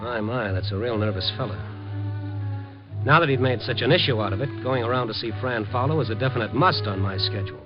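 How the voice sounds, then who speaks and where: An actor playing a streetwise, wry newspaper reporter in a 1950s radio mystery, talking in a hard-boiled, wisecracking way. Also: male voice, mid-range voice, fast talker, natural voice. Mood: terse, brooding. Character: streetwise, persistent male newspaper reporter narrating his night beat. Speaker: actor and narrator in a radio drama. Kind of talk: 1950s radio mystery acting with first-person narration. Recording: dull vintage 1950s radio recording, music behind.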